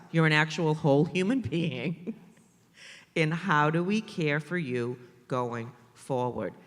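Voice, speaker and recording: A man from the Boston area with a Boston accent, speaking on a conference panel about maternal mental health in an animated way, with joking asides and emphatic repetition. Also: fairly high pitch, in a large hall. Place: conference stage in a large hall.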